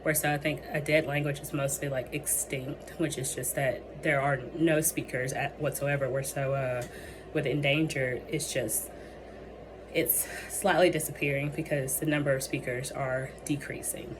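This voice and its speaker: Young woman w a low worn-out voice, losing it, lots of ums, noisy background. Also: little pitch movement, moderate pace. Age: young adult. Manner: hesitant, many ums and restarts. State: losing her voice. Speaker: student presenting a capstone project. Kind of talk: presentation with many fillers.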